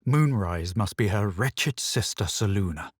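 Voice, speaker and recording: Haughty spellcasting hero, fantasy video game, low husky voice, theatrical, widely varied intonation. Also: male voice, animated, unhurried, dry recording, studio quality. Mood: grave, measured. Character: male adventurer hero, self-assured, haughty, brooding spellcaster. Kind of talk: fantasy video game voice lines, combat barks and narrated recollections.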